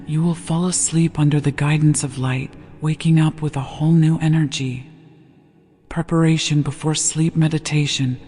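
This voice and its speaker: A gentle, hushed voice guiding a sleep meditation with slow, deliberate scripted narration. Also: mid-range voice, husky. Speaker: meditation guide. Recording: long reverb over background music. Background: meditation music.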